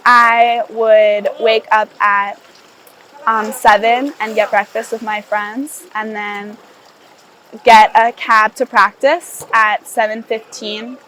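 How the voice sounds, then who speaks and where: A woman's voice: fairly high pitch, little pitch movement, slow, a bit bright, a little hiss or hum, low-quality recording. A sixteen-year-old woman from Connecticut, walking through events in an orderly, step-by-step way. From Connecticut, USA. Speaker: student athlete. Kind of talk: casual testimonial interview.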